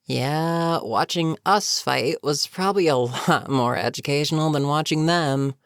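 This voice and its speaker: Gravelly goblin captain played by a voice actor, fantasy roleplay audio, wry and weary, casual sardonic asides, unhurried pace, close dry sound. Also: medium pitch, no room sound. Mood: amused. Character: wry, weary goblin captain, amused and businesslike toward a captive. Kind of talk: immersive character roleplay monologue, conversational.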